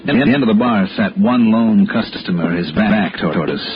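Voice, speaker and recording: A man's voice: lowish voice, expressive, moderate pace, lightly acted. An American man playing a hard-boiled, probing insurance investigator in a 1960s radio noir drama, both acting and narrating. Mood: wry, brooding. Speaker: lead actor and first-person narrator. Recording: dull vintage radio recording with music.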